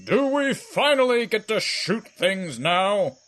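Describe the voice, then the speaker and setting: A man as a slow, self-important dim soldier. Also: high voice, big pitch swings, a bit bright, a little hiss or hum, full character voice. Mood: eager. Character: slow-witted, trigger-happy male soldier, earnest and pompous. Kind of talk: comedic audio drama acting.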